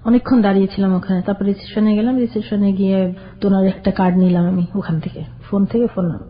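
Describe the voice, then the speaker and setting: Older woman guest, halting storyteller, muffled radio sound. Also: medium pitch, fairly even intonation. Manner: plain, halting recollection. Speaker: caller guest. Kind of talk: personal storytelling.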